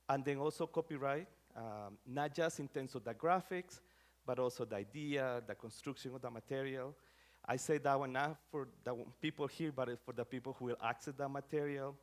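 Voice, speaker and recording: A middle-aged man from Rica, giving an academic talk in a conversational way with many fillers and phrasing. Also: mid-range voice. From Costa Rica. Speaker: scholar giving a lecture.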